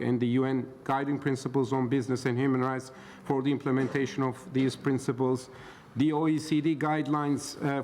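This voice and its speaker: Middle-aged male expert from Turkey, halting delivery, recorded in a reverberant hall with faint noise behind. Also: medium pitch, a little mellow. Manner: hesitant, many filler pauses. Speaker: expert panel speaker. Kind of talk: conference talk. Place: conference hall.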